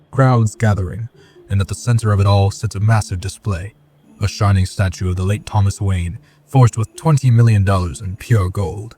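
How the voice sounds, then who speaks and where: A man's voice: lowish voice, dark tone, far from the mic. A man giving dramatic scripted narration as the narrator of an audio drama.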